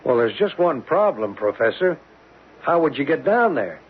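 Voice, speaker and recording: An actor playing a hard-nosed, impatient oil company boss in a 1970s radio drama, blunt and insistent. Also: male voice, mid-range voice, very animated, normal speed, natural voice. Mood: objecting. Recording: tinny, noisy old radio broadcast.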